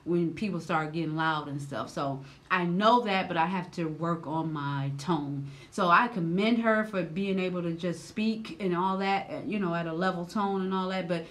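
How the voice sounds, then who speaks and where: A woman in her thirties doing casual, slangy reaction commentary, loud and expressive, her voice swinging high when riled, recorded seated indoors on a camera. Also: normal speed, hardly any pauses. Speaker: reaction vlogger.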